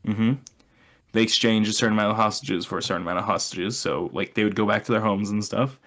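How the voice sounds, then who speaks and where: A man's voice: lowish voice, fairly even intonation, quick pace, fairly bright tone. A young man chatting casually as a podcast co-host, explaining his opinions in a sardonic way and swearing freely.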